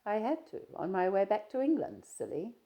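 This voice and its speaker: A woman voicing a shy, reserved young woman traveller in an audiobook, with soft, hesitant replies. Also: medium pitch, huge pitch swings, normal speed, creaky, pitched above the performer's own voice. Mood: wistful.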